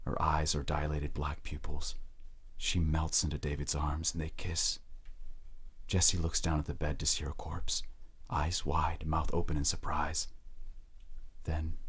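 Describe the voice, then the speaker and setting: A man narrating an audio drama in a grave, measured, dramatic storytelling manner. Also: rumbling low, gravelly, husky.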